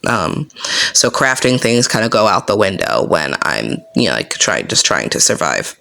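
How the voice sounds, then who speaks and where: A woman with a low, monotone voice chatting casually about her reading journal as she crafts, unhurried and full of "um" and "like". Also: gravelly. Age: adult with young kids. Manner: unhurried, filler-heavy, lots of "um" and "like". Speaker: book journaling vlogger. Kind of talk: casual chat while crafting.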